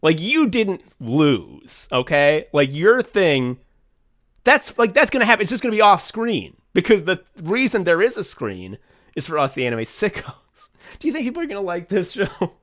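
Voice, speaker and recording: A man's voice: high-pitched, sing-song, moderate pace, fairly bright tone. A man co-hosting a podcast, chatting casually, rambling with frequent swearing, fillers and restarts. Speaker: podcast co-host and writer.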